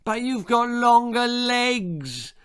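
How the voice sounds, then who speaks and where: A man's voice: extremely high pitch, animated, a bit bright, clear, resonant tone, voice pushed up, full character voice. A man voicing a bored, timid four-year-old boy in a children's story, whining and drawing out his pleas. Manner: whining, drawn-out pleading.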